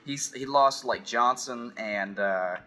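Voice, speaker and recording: A man giving casual, rambling philosophical commentary, halting and repeating phrases as he thinks aloud. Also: medium pitch, bright, forceful tone. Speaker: video essayist.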